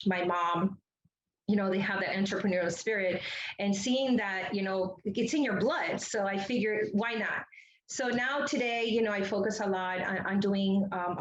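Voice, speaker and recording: A middle-aged woman from Ecuador giving a chatty, rambling interview answer as a guest, telling personal stories and often saying "you know". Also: medium pitch. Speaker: interview guest, entrepreneur and public speaker. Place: remote, on a video call. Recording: roomy, muffled video-call audio.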